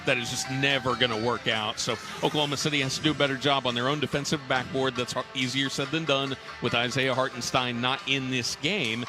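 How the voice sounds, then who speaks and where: Male basketball radio host, mid-pitched voice, live halftime analysis over clearly audible music. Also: fairly even intonation, brisk, few pauses. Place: arena radio broadcast. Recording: music behind the broadcast talk.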